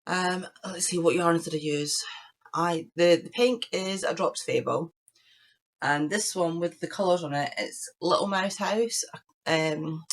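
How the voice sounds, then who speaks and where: A woman hosting her crafting podcast, chatting casually to camera with a croaky voice while she recovers from illness. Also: fairly low pitch. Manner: chatty, rambling, shows knitting to camera. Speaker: podcast host.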